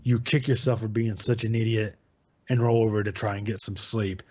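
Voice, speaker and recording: A man's voice: lowish voice, steady tone, normal speed, darkish tone. A man hosting his channel, reading a short creepypasta aloud in a casual tone and stopping to comment on its grammar errors. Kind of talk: casual story reading with asides. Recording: dull lo-fi sound.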